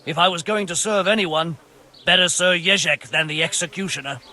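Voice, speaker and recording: A man's voice: high voice, brisk, sharp tone, full character voice. An actor playing a fearful, fatalistic brigand's henchman in a medieval video game, speaking defensively. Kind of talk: video game cutscene acting.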